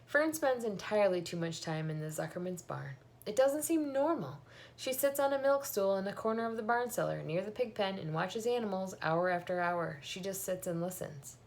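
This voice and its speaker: A woman's voice: mid-range voice, expressive, moderate pace, natural voice. A woman reading the part of a worried, practical farm mother in a storybook, fretful and questioning.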